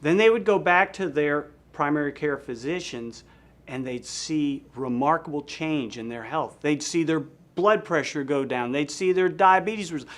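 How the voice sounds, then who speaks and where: A man talking as a surgeon in an unscripted interview style. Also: on the high side. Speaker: bariatric surgeon.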